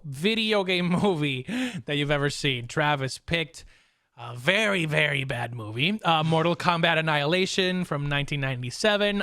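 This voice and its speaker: Smooth, higher-voiced male podcast host. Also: bright, forceful tone, no echo, studio quality. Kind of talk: casual podcast chat.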